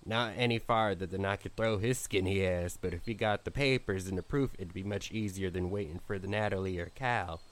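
A man's voice: sits low, brisk, few pauses, natural voice. A man playing a no-nonsense small-town radio host and monster hunter in an audio drama, folksy and matter-of-fact.

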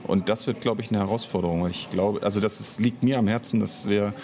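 Low-voiced man, reflective interview guest. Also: fairly even intonation, quick pace, warm tone, slight background noise. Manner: hesitant, reflective, searching for words. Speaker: audio drama author and director, interview guest. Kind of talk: unscripted interview conversation.

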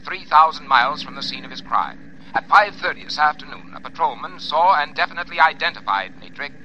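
A man's voice: on the high side, expressive, fairly quick, pushed and bright, highs cut off, slight background noise. A man playing a formal newsman reading a crime bulletin, 1940s newscast style, heard over a car radio. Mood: urgent, formal. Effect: heard through a car radio.

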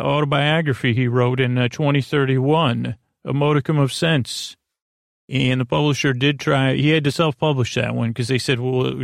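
A man hosting a bedtime podcast in a creaky, lulling voice, rambling and stammering through meandering tangents and false starts. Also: medium pitch, dry recording, studio quality. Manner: soothing, lulling. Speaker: podcast host.

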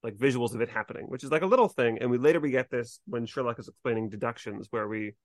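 A man's voice: medium pitch, fast talker, no echo. Dry-sounding male podcast host. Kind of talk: casual discussion.

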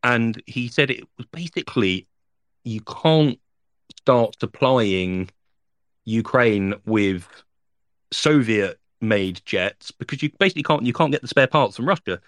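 Male correspondent, mid-pitched, halting analytical delivery, dry close sound. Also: lively intonation, a bit bright, dry recording. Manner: thinking aloud, many fillers and restarts. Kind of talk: spoken news analysis.

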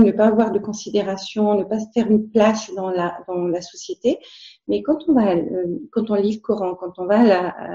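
A woman's voice: mid-range voice, mellow, roomy sound, muffled recording. A woman whose first language is Arabic, speaking in a casual interview as a guest, hesitant, with many fillers and restarts.

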